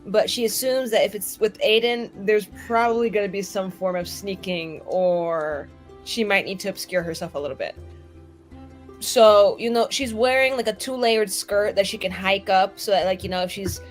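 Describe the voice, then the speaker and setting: A woman's voice: mid-range voice, normal speed, soft background music. A woman playing a tabletop game, narrating her character in a chatty, slangy, playful way.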